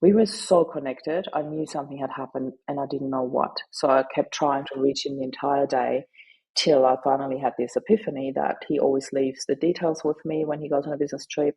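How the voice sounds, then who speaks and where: A middle-aged woman whose first language is German, sharing her story earnestly and haltingly in an interview. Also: deep voice, fairly even intonation, dark tone, slightly rough, low-quality recording. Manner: earnest, halting, with frequent fillers. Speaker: guest, grief advocate and author.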